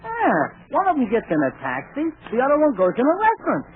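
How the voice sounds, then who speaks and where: A man's voice: extremely high pitch, huge pitch swings, a little fast, heavily acted. An actor playing a jittery, menacing gangster henchman in an old radio comedy, on a tinny vintage recording. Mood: jittery, excited. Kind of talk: 1940s radio comedy acting. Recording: noisy narrow-band 1940s radio recording.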